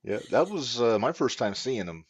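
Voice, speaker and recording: A man co-hosting a podcast, easygoing, pausing and restarting as he talks. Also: mid-range voice, big pitch swings, slightly rough, no echo. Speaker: podcast co-host. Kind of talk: casual chat. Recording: dull, lo-fi mic.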